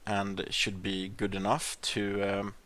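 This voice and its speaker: A man with a Swedish accent gives relaxed gameplay commentary in a somewhat low voice. He thinks out loud, stalling on ums and uhs and restarting his sentences. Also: takes it slowly. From Sweden. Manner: rambling, hesitant, many fillers and restarts. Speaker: gaming channel host.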